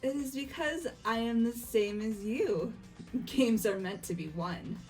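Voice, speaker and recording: A woman voicing a refined, calmly competitive young lady in a fan-voiced game, with measured, polite and precise speech. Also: fairly high pitch, faint music behind, poor audio. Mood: composed, knowing. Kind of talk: amateur voiced video game playthrough.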